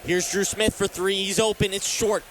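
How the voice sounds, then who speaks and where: High-voiced young American sports announcer man. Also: level tone, normal speed, fairly bright tone, a little hiss or hum, poor audio. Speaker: sports play-by-play announcer and reporter. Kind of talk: live radio sports commentary.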